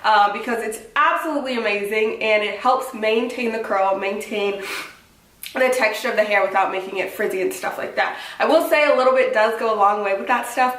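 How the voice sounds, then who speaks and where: A woman's voice: fairly high pitch, fairly bright tone. A woman with a stuffy, congested cold, chatting casually and upbeat as she reviews a wig for her vlog, with lots of "like" and "um". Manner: upbeat and apologetic, filler-heavy with lots of "like" and "um". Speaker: beauty vlogger reviewing a wig. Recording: roomy echo, voice from a distance.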